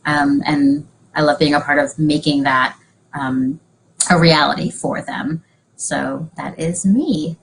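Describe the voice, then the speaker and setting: A woman's voice: sits low, steady tone, slow, poor audio. A young woman from Venezuela casually introducing herself as a panel guest on a video call from a room at home. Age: young adult. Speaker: panel guest, organization founder. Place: small room at home, indoors. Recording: clear background noise, room ambience.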